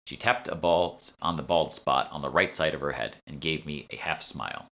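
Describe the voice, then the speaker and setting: A man's voice: extremely low pitch, moderate pace, fairly bright tone. A man reading scripted narration from a fantasy novel as its author. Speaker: author reading own audiobook.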